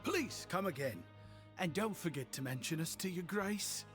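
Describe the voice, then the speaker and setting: A man's voice: high voice, lively intonation, normal speed, music in the background. A man voicing an eager, polite, ingratiating shopkeeper in a video game, with courteous sales patter.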